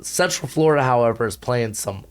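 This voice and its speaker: A young male host talks through college football rankings in quick, slangy bursts, full of fillers. His voice is hoarse, yet it stays fairly clear under the strain. He speaks at a mid pitch, recording at home. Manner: energetic, slangy, rapid asides with frequent fillers. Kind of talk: casual rankings commentary to camera. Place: home recording setup with a humidifier.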